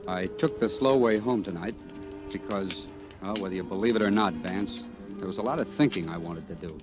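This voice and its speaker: A man's voice: low-pitched, brisk, over music, close to an everyday voice. An actor playing a nervous, down-on-his-luck man in a 1940s radio drama, speaking hesitantly with stammers. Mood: reflective narrating. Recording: noisy old 1940s radio recording.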